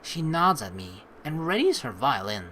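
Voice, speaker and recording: A man's voice: medium pitch, normal speed, slight background noise, rough recording. A young man giving chatty let's play commentary, reading game text aloud and reacting to it. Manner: animated, chatty, reacting as he reads.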